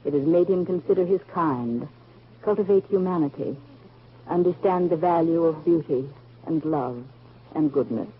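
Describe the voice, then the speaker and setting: An actress playing a thoughtful grown woman in 1940s American radio drama, reciting in a measured, poetic way. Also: low-pitched, a little hiss or hum, close to an everyday voice. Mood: reflective, reverent. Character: thoughtful grown woman Hazel of a family saga. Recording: muffled old 1940s radio recording.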